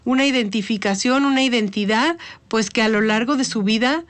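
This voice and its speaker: A woman's voice: a little high, fairly quick. A woman with a Mexican accent, a guest official on a radio interview. She explains patiently, repeats words as she thinks and often ends with "¿no?". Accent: Mexican Spanish. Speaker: studio guest, government official.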